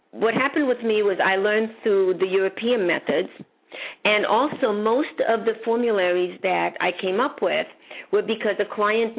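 A woman from Hungary, a psychic guest, talking in a rambling, chatty way and laughing often. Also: medium pitch. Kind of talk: casual interview chat. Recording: phone-line audio.

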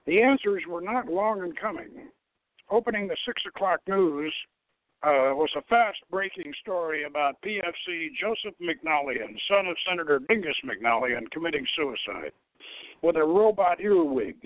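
A man giving a halting, wry dramatic reading of a story, stumbling over the text now and then. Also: a little high, expressive, no echo. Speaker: host reading own novel aloud. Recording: thin, dry, phone-like radio line.

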